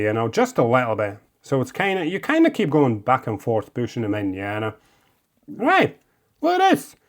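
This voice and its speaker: Chatty Latvian-accented man with lively pitch. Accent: Latvian, living in Scotland. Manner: chatty and encouraging, coaxing phrases. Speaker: model-building video host. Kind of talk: casual hands-on build tutorial.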